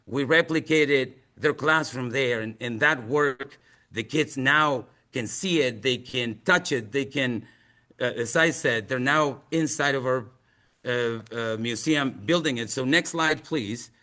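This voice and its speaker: A man from Chile with a Chilean accent, giving a presentation in English as a non-native speaker in an earnest, emphatic and deliberate manner. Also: medium pitch, pushed and bright. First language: Spanish. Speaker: museum outreach presenter. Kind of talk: presentation to an audience with slides.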